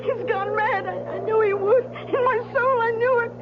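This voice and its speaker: A woman's voice: very high voice, steady tone, moderate pace. An actress in an old-time radio drama playing a despairing wife at breaking point, wailing and sobbing as she repeats herself frantically. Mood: hysterical despair. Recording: noisy, dull old radio drama recording.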